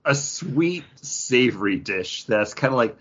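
A man's voice: medium pitch, animated, measured pace, fairly bright tone, breathy edge. An American man co-hosting a podcast in casual, rambling, anecdotal conversation, repeating himself for emphasis. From western New York, United States. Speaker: podcast co-host. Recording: dull, lo-fi audio.

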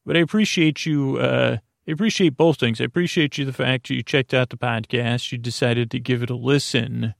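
A man with a creaky, gravel-edged voice rambles soothingly as a sleep podcast host. He halts, circles back and gently repeats himself in a bedtime monologue. The recording is close and dry, with no room sound. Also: mid-range voice, fairly even intonation, no echo. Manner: meandering, halting, with gentle repetition.

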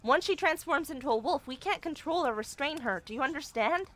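An earnest young witch in an audio drama. Her voice is high and bright, and she speaks with emphatic, exasperated urgency. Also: expressive, a little fast. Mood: urgent warning. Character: flustered, earnest young woman witch warning trespassers.

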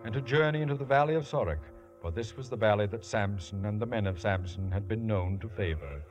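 Formal, grave storyteller , voiced by a man, scripted old radio narration over clear music. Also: on the low side, animated, close to an everyday voice. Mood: solemn. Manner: measured, formal. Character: solemn biblical storyteller.

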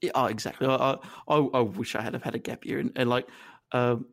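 An Australian man, maybe in his thirties, telling his story as an interview guest. He talks in a halting, self-deprecating way, stopping and restarting often. His pitch sits at an ordinary middle level for a man. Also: fairly even intonation, gravelly, a bit bright. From northern New South Wales, Australia. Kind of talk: reflective conversational interview.

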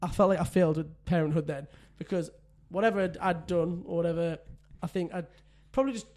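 A man's voice: fairly quick, darkish tone. A man, a podcast co-host telling a parenting story in casual chat, often laughing. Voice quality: says he has a deep voice.

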